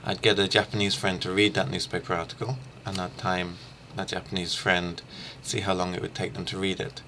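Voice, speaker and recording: A middle-aged man giving an informal instructional screencast as a language teacher, haltingly thinking aloud. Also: deep voice, level tone, bright, forceful tone, a little hiss or hum. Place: small room lined with shelves, indoors.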